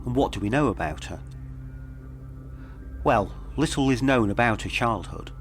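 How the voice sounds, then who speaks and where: A man reading scripted mystery narration in a measured, dramatic storytelling manner. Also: mid-range voice, expressive, slight background noise. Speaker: documentary podcast narrator.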